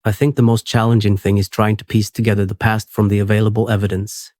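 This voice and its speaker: A man reading the lines of a woman historian guest in a steady, even voice. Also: fairly low pitch, quick pace, dry recording, studio quality, natural voice. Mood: earnest.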